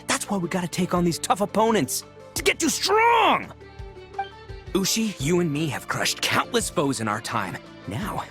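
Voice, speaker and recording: A man's voice: high-pitched, sharp tone, full character voice. A man playing a brash, boisterous young gang boss fighter in a video game, with a cocky, slangy, showboating manner. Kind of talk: animated video game voice acting.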